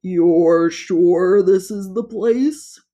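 A woman voicing a squat, nervous toad-like male creature who croaks and fawns over his master. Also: on the low side, drawn-out words, mellow, dull audio. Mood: nervous groveling.

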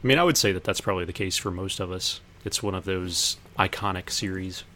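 Man, low-pitched, podcast co-host, casual discussion. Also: fairly quick, bright, forceful tone, a little hiss or hum. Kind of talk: casual chat about anime.

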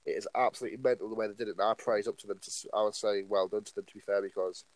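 A man's voice: fairly low pitch, steady tone, rapid-fire, no echo, poor audio. Rambling nineteen-year-old guy. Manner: rambling, leans on "like" and "obviously". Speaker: podcast co-host. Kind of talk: casual podcast chat.

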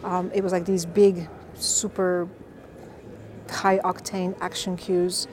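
A woman's voice: on the low side, slow pace. A woman, a game composer telling her story with passion in an interview. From Bulgaria. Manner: passionate, lively storyteller with fillers. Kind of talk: casual interview answers.

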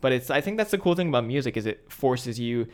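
A man's voice: mid-range voice, lively intonation, quick pace. A young American man co-hosting a podcast, chatting casually in a slangy, wry way. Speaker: podcast co-host. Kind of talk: casual chat.

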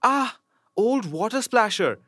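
A man voicing a spoiled young princess in a read-aloud fairy tale, in a high pleading girlish tone. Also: expressive, slow pace, bright, forceful tone, breathy edge, no echo, clean studio sound, exaggerated voice.

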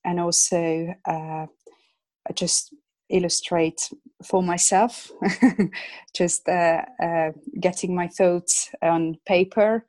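A Hungarian-born woman in her thirties is talking in English as a guest on a podcast. Her voice sits on the low side and is recorded dry and close. She talks with a lot of hesitant fillers and small laughs. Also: flat delivery, slow, a little mellow, no room sound. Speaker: illustrator guest. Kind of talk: casual interview chat.

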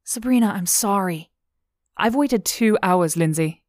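A woman's voice: lowish voice, normal speed, no room sound, clean studio sound, lightly acted. A woman voicing a blunt, stern young female law officer in an audiobook. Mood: exasperated.

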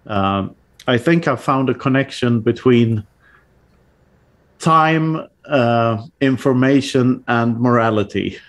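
A man talking as a guest author on a podcast, casual and halting, with many fillers and restarts and little laughs at his own jokes. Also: mid-range voice.

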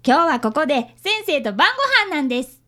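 Casting: polite schoolboy apprentice detective, played by a woman, piping high and bright, earnest radio-drama delivery. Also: animated, a little fast. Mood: cheerful. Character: eager, polite fifth-grade boy, an apprentice detective. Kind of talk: audio drama acting.

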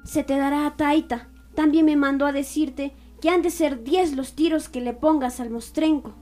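A woman's voice: high voice, fairly even intonation, moderate pace, darkish tone, soft background music, lightly acted. A woman playing a vengeful, grieving village mother in a radio drama, deferential yet determined. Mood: resolute, vengeful.

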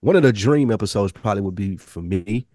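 A man's voice: on the low side, lively intonation, brisk, a little mellow, no echo, studio quality. A man co-hosting a podcast, giving a casual recap. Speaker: podcast co-host.

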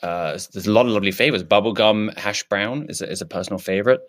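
A man's voice: fairly low pitch, quick pace, fairly bright tone, close to an everyday voice. A man improvising a shy male politician who has no opinions, talking in hesitant, stammering restarts. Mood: evasive. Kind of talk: improvised comedy character.

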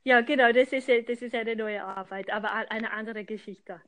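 A woman's voice: fairly high pitch, fairly even intonation, moderate pace, a bit bright. A woman from London co-hosting a casual podcast over a remote call, talking hesitantly with restarts and fillers. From London, England. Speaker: podcast co-host.

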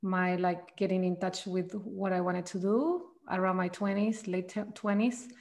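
A woman's voice: fairly low pitch, steady tone, moderate pace, a little mellow. A woman, a guest on an interview who searches for her words and restarts her sentences. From Chile. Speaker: guest, trauma practitioner. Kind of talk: casual interview answers.

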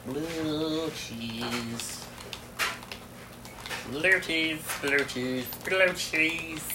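Low-voiced mumbling woman, joking. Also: drawn-out words, very noisy. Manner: joking, crude, sing-song bits. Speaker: friend playing a game together. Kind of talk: casual chat over a game.

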